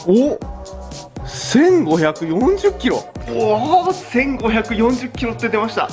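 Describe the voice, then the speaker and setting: A man's voice: very high voice, big pitch swings, slow pace. A man in his thirties, a car channel host, explaining things casually and hands-on to camera in a garage. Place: garage workshop, indoors. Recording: music behind the voice. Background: background music.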